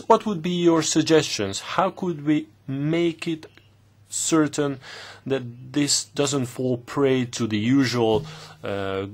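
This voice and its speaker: A man interpreting formal committee questions live, speaking in halting bursts with pauses mid-phrase. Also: medium pitch. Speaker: simultaneous interpreter.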